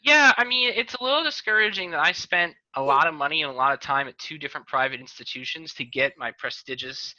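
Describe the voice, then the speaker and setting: A man hosting a casual talk show over a video call, wry and deadpan, with quick jokey asides. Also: fairly high pitch, dry recording. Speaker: host, lawyer. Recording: dull lo-fi call audio.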